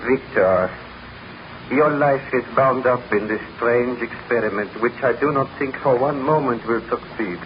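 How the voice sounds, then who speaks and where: A male actor as a cautious, disapproving gentleman friend. He speaks formally and gravely at a middling pitch, pleading earnestly. The old radio drama recording is muffled, with heavy noise behind it. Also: a little slow, natural voice. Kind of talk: 1930s radio drama acting.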